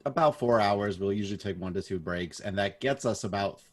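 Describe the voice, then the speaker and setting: A man chatting casually as a podcast panelist, low-key, letting his sentences trail off. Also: fairly quick.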